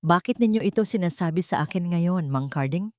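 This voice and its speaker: A woman's voice: on the low side, animated, fairly quick, a little mellow, no room sound, natural voice. A woman voicing a desperate, frightened young housemaid with a broken, pleading delivery in an audiobook drama. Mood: bewildered. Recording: dull, dry lo-fi recording.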